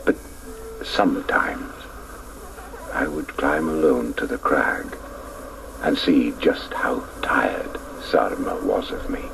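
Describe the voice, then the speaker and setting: An actor playing a reflective male narrator recalling his boyhood in a radio drama, lyrical and hushed. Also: extremely low pitch, animated, slow, gravelly, pauses often, noisy background, heavily acted. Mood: nostalgic. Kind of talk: scripted literary radio narration.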